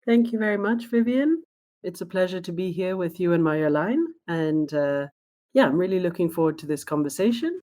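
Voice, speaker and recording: A woman who grew up in Spain, speaking as a guest in a podcast interview in a thoughtful, measured way. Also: lowish voice, animated, warm tone. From born in Sweden, raised in Spain. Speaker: guest, diversity trainer.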